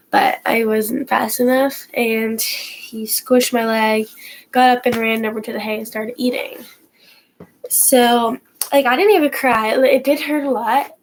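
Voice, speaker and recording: Stuffy-nosed teen girl rambling slowly. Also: fairly high pitch, rough recording. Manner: rambling, many restarts and fillers. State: stuffy from a cold. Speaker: horse vlogger. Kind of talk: casual storytime to camera. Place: at home, indoors.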